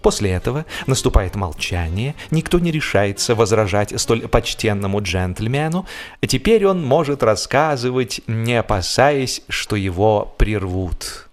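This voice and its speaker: A man narrates a comic literary piece with ironic, theatrical expression. His delivery is unhurried and very animated, with deliberate pauses, over faint music. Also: on the low side. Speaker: audiobook narrator and actor.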